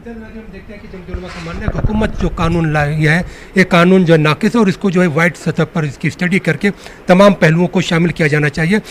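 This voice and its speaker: Male TV reporter, slightly high voice, wordy delivery, faint ambient noise behind. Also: fairly quick. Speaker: TV news field reporter. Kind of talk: news report and interview. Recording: clearly noisy location sound.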